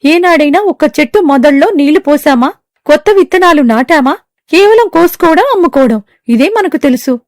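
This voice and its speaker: A woman gives voice to an earnest cartoon bird with a high, bright-pitched voice that pleads and reproaches with passion. The recording is close and dry. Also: expressive, fairly quick, no echo. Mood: reproachful.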